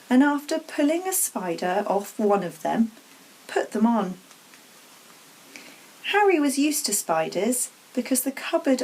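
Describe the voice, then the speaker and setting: Slow, expressive woman reading a story. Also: on the high side, breathy edge, low-quality recording. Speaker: story reader. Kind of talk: expressive children's storybook narration.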